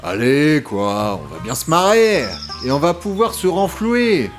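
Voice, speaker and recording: A man's voice: fairly high pitch, big pitch swings, a little slow, faint music behind. A man playing a showy, cajoling startup enthusiast in an audio comedy drama. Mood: persuasive. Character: breezy, boastful male startup enthusiast coaxing a friend.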